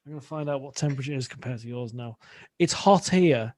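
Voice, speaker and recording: A man's voice: medium pitch, fast, darkish tone, no room sound. A man hosting a podcast interview over a call, rambling and repeating words as he thinks aloud, tired after a long day of shooting. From United Kingdom. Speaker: podcast host. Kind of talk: casual remote interview chat.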